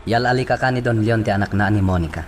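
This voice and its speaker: A man acting a forceful boss conferring with his men in an Ilocano radio drama. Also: lowish voice, fast, slight background noise, natural voice. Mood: serious.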